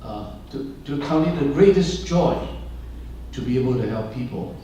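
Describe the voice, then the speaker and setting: Older male pastor, Chinese first language, unhurried and halting, many fillers; distant mic in a booming room. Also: lively intonation, warm tone, heavy reverb, a little hiss or hum. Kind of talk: speech to an audience. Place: large room with an audience.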